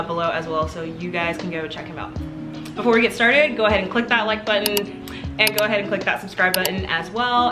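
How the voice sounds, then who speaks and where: Woman, young adult, upbeat host, casual interview, roomy echo, music. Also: mid-range voice. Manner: upbeat, friendly YouTube host.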